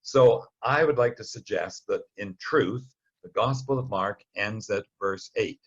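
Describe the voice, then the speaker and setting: A man with a fairly low, husky voice leads a Bible study. He explains things informally, like a conversational storyteller with a wry streak. The recording sounds a little dull. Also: measured pace. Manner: conversational, wryly storytelling, acts out short quotes.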